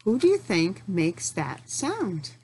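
A middle-aged woman leading a lesson for young children, asking simple questions, pausing for answers and encouraging them. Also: mid-range voice, huge pitch swings, a little mellow, husky. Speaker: teacher.

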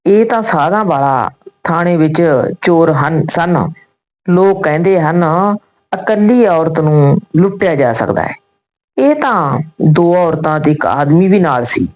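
A woman reading a scripted story and its dialogue in a deliberate, phrase-by-phrase manner. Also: low voice, a little mellow, poor audio.

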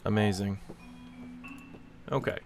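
A man casually commentating a game as he plays, chatting and reacting aloud in a relaxed way. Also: fairly low pitch, slow, over music. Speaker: gameplay commentator. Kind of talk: casual let's-play commentary.

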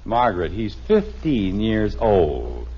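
A man's voice: fairly low pitch, wildly swooping pitch, slow pace, dark tone, slightly rough, exaggerated voice. An American actor playing a wry, exasperated middle-aged father in a 1950s radio sitcom, with dry, teasing sarcasm. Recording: muffled, noisy old radio broadcast recording.

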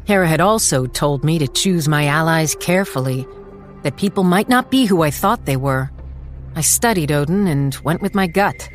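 A woman's voice: low voice, lively intonation, moderate pace, soft background music. A woman playing a determined, wry small-town police chief who narrates a dramatized audiobook in a firm, dry way. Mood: guarded, reflective. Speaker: narrator and lead character.

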